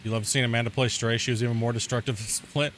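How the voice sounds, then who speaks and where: A man's voice: medium pitch, steady tone, fast talker, fairly bright tone, a little hiss or hum. Laid-back rambling male game streamer. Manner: rambling, laid-back, heavy use of "like" and "dude". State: sunburnt and itchy. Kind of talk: casual gameplay commentary.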